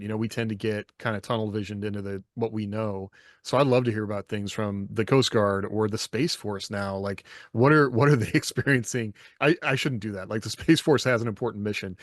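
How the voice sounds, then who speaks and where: A man speaks as a podcast host in an easy conversational register. His voice is a little lower than average, and his delivery is informal and unscripted. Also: no room sound, clean studio sound. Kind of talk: casual conversational discussion.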